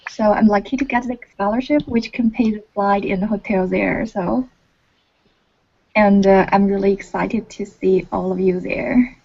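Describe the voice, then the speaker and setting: Woman from China, PhD student, earnest, halting, muffled audio. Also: medium pitch, steady tone, gravelly, a little mellow, slightly rough. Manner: earnest, halting, many fillers. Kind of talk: casual online meeting chat. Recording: muffled online call audio.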